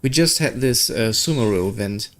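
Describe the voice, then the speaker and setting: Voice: medium pitch, lively intonation, moderate pace. A gaming streamer giving casual playthrough commentary, informal and reacting aloud with sarcastic asides.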